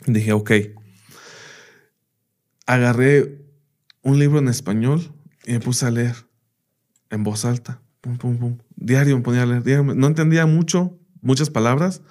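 Man telling lively stories, native English speaker. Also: mid-range voice, measured pace, pauses often. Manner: lively anecdotes, fillers like "bla, bla" and "¿me entiendes?". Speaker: teacher, podcast guest. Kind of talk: casual interview storytelling.

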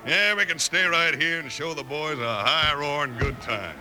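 A man's voice: a little high, a little slow, natural voice. An American man playing a gloating, boastful outlaw boss in a 1950s radio Western, speaking in folksy frontier talk. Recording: old radio recording with loud music.

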